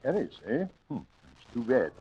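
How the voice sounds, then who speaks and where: A railway superintendent in a vintage radio play. His husky voice swings widely in pitch, with businesslike authority. The sound is dull and lo-fi. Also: big pitch swings, normal speed, dark tone. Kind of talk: 1940s radio drama acting. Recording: dull old radio recording.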